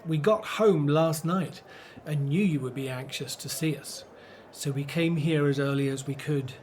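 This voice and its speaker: Male actor as warm older brother, fairly high voice, grateful, radio drama. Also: moderate pace, a little hiss or hum, natural voice. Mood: grateful, eager.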